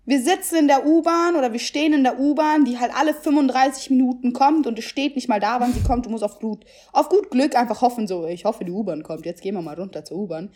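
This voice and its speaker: Young woman, casual chat, animated and emphatic, slips into English, somewhat high voice. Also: fast, few pauses. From Germany. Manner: animated, mixes in English phrases and swearing.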